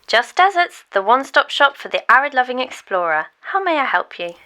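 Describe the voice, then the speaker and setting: Woman acting a perky female call-centre agent, bright, very lively intonation, sing-song, polite, audiobook character. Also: high-pitched, fairly quick, voice pushed up, full character voice. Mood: chirpy, polite. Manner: sing-song, chirpy, scripted customer-service politeness.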